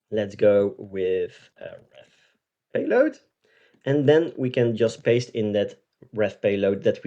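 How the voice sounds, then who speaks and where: Man explaining software, mellow voice. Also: mid-range voice. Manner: explanatory, step by step, with frequent "right?" check-ins. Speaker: tutorial presenter. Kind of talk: software tutorial walkthrough.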